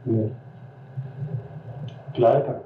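A man's voice: very slow, roomy sound, narrow, tinny audio. A man in casual family talk, recorded on an old, noisy home cassette from a distance.

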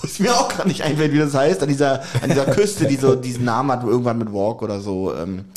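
A male podcast co-host in casual banter, his voice sitting in an ordinary middle pitch. He teases and jokes, laughing through his sentences and trailing off into fillers. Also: quick pace, a little mellow, soft background music. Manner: teasing, joking, laughs often while talking. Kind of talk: casual chat.

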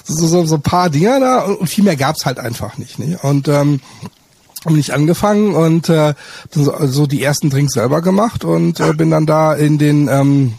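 A middle-aged man chatting casually in a halting, hesitant way, often adding short tag questions. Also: on the high side, gravelly, darkish tone, breathy edge. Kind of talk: casual chat.

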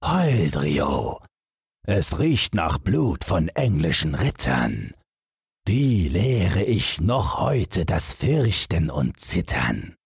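A huge, boastful giant out of a fairy tale, acted out by a male reader, thirsting for blood. The voice is low and very husky. He booms out his threats slowly and with menace. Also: very animated, no room sound, studio quality, heavily acted. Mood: menacing, boastful. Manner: booming, slow menacing delivery. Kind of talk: fairy-tale audiobook character.

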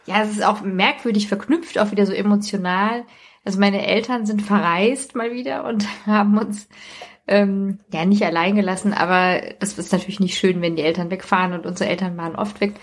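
A woman's voice: medium pitch, fairly even intonation, fairly quick. Woman on a podcast, normal mid voice, just chatting casually, personal stories. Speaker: podcast guest or co-host.

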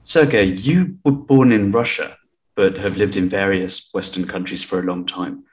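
A man's voice: low-pitched, normal speed, a little mellow. A man hosting an interview podcast, putting prepared questions to a guest.

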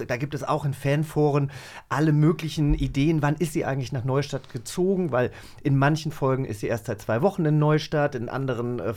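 A man's voice: mid-range voice, a little mellow. A man in his forties chatting casually and enthusiastically as a podcast co-host. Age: 40s.

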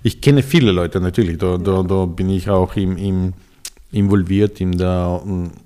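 A man's voice: sits low, steady tone, unhurried, mellow. A middle-aged man with a Serbian accent, Serbian his first language, telling his story in a casual interview with many hesitations and restarts.

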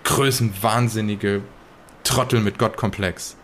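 A man playing a shaken, sardonic survivor of occult horrors, retelling events in character in halting, broken sentences for an improvised audio drama. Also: on the low side, steady tone, a bit bright, slight background noise. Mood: wry, bitter.

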